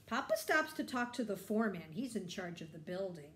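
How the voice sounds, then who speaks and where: A middle-aged woman reading a picture book aloud to children, explaining and asking simple questions in an animated way. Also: mid-range voice, fairly quick, reverberant. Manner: animated, explanatory, addressing young listeners. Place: bright indoor room.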